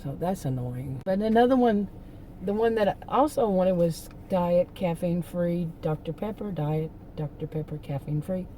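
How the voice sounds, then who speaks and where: A middle-aged woman, hoarse from losing her voice, rambling and venting in a casual vlog from a parked car. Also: fairly low pitch, moderate pace, mellow, a little hiss or hum. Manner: rambling, venting, with many restarts. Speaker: vlogger.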